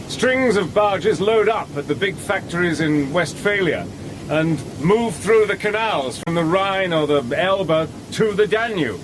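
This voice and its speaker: A steady, wry spy-adventurer. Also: male voice, high-pitched, unhurried. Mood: explaining. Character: resourceful male spy-adventurer, calm and determined. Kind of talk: radio drama acting with first-person narration. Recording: radio drama with noise behind.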